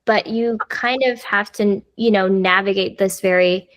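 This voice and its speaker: A chatty woman in her thirties, speaking at a mid pitch. She talks loosely as a podcast guest and fills her sentences with "like" and "you know.". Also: steady tone, unhurried, fairly bright tone, dry recording. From United States. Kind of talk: casual conversational interview.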